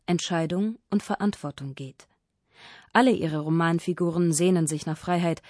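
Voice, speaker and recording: A woman's voice: fairly low pitch, mellow, no room sound, clean studio sound. A woman reading scripted narration as the narrator of a radio feature.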